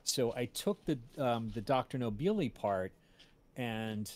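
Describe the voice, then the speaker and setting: A man's voice: mid-range voice, animated, unhurried, a little mellow. A man hosting a casual livestream chat, rambling along and often searching for words as he tells stories. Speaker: podcast creator and stream host.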